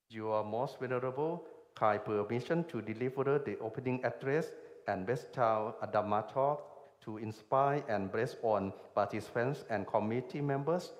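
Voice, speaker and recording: A man with a Thai accent reading a formal, deferential ceremonial report as a programme organizer. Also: medium pitch. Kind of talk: formal ceremonial speech read from a script. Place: large hall at a ceremony. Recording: echoey hall with long reverb.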